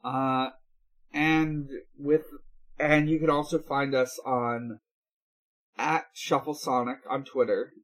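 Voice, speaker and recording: A man hosting a podcast in casual talk, with a halting, hesitant delivery. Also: medium pitch, steady tone, a bit bright, poor audio. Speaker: podcast host.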